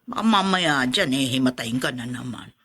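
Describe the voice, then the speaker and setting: A woman's voice: very deep voice, animated, normal speed, a bit bright, husky, full character voice. A Filipino woman playing a resentful, sarcastic older aunt in a radio drama. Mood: cold, sarcastic. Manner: sarcastic, indignant. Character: resentful, jealous older aunt who feels unappreciated.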